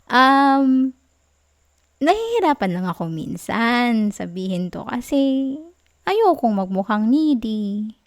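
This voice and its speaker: A young woman with a Filipino accent playing a sweet, clingy, gently sulking girlfriend in an intimate ASMR roleplay, soft and whiny in her affectionate pleading. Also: fairly high pitch, big pitch swings, slow pace, long pauses, no echo, clean studio sound, full character voice. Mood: bashful, pleading.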